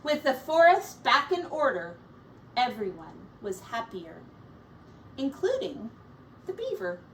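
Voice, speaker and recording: A woman with a high voice reads a picture book to young children at a slow pace. She is a teacher, gentle and simple in her explanations. The microphone sounds some way off from her. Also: big pitch swings, far from the mic, slight background noise. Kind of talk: storybook read-aloud with simple asides.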